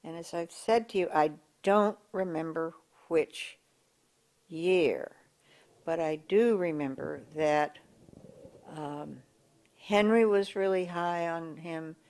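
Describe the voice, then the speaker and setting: An older woman with a big voice, recalling local government history in an oral history interview, pausing and restarting as she searches for words. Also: fairly low pitch, darkish tone. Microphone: table mic in front of a coffee pot.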